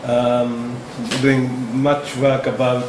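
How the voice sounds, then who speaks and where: Slow guy in his 50s, lots of ums and restarts, echoey seminar room with noise behind. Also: medium pitch, monotone. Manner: halting, with many fillers and restarts. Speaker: medical ethics presenter. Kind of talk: academic discussion in English as a second language. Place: seminar room with a projector screen.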